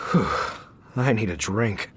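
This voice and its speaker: A man's voice: lowish voice, a little hiss or hum. A man voicing a weary, sighing knight in a video game who rambles a little.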